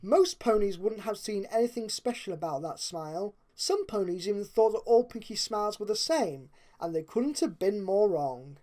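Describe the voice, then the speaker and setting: A woman reading a story aloud as narrator, with expressive storytelling and dramatic emphasis. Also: medium pitch, normal speed, few pauses. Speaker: fan fiction narrator.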